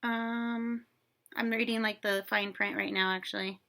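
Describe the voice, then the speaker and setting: A woman reading a children's story aloud in an animated, playful way, with chatty casual asides to young listeners. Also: mid-range voice, measured pace.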